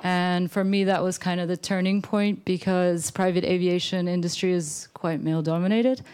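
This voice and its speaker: A woman's voice: on the low side, steady tone, normal speed, darkish tone, clear, resonant tone. A woman, a startup co-founder, tells a personal career story in a conversational way as a panel speaker.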